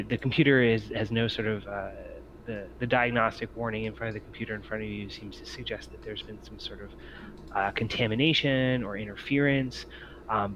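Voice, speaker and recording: A man's voice: on the low side, fairly bright tone. A man working as a game master, improvising narration for a tabletop game and piecing descriptions together hesitantly. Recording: muffled, faintly noisy home mic.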